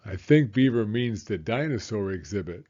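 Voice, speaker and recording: Man playing adult male owl teacher, storybook character, orderly, measured, husky, slightly dull recording. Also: lowish voice, animated, warm tone. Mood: encouraging.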